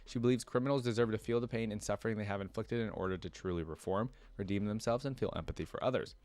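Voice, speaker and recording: Man, lowish pitch, casual fan commentary, informal asides, reaction host, dry close recording. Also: fast, darkish tone, dry recording. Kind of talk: casual reaction commentary, reading aloud and remarking.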